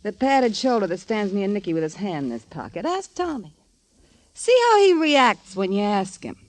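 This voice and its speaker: A woman's voice: mid-range voice, big pitch swings, moderate pace, natural voice. An actress playing a jaded, possessive dance hall woman in 1950s radio noir, slangy, tough and knowing. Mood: sly, knowing.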